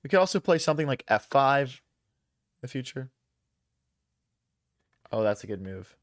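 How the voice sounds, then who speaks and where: Young male streamer, casual slang, mid-pitched, dry close mic. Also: sing-song, no room sound. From Philadelphia, USA. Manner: thinks aloud, casual slang, quick reactions to chat. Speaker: chess streamer. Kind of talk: casual live-stream commentary.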